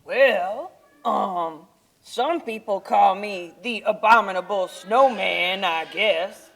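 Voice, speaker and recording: A woman voicing a shy, gentle male Yeti in a children's puppet show, speaking in a mild, hesitant way. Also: medium pitch, slow, gravelly, pitched below the performer's own voice, exaggerated voice. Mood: bashful. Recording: live puppet show performance.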